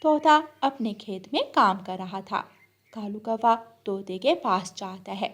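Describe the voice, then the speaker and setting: A woman's voice: medium pitch, slow. A woman narrating a scripted children's story.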